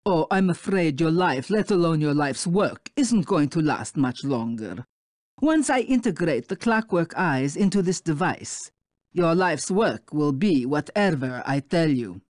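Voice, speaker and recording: An actress playing a condescending, menacing spider villainess in a video game, with a silky manner. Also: female voice, deep voice, animated, a little slow, darkish tone, no room sound, clean studio sound. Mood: gloating. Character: sinister, condescending spider villainess, a secretly corrupt Interpol official.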